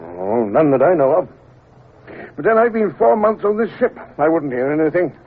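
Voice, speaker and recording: An actor playing a commanding senior naval captain who talks warmly and briskly. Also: male voice, on the high side, sing-song. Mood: hearty. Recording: tinny, hissy old radio broadcast.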